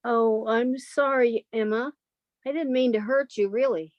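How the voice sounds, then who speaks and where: Yearning country spinster, thirties woman acting, earnest higher voice, dry studio sound, radio melodrama. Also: fairly even intonation, unhurried, dry recording, dull audio, close to an everyday voice. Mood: apologetic, dreamy.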